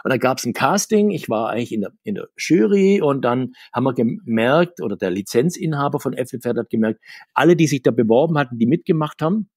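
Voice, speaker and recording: A middle-aged man answering interview questions, rambling and restarting his sentences, with sudden lifts in pitch. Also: expressive, brisk, a little mellow, slightly rough, no room sound, studio quality. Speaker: comics author and guest.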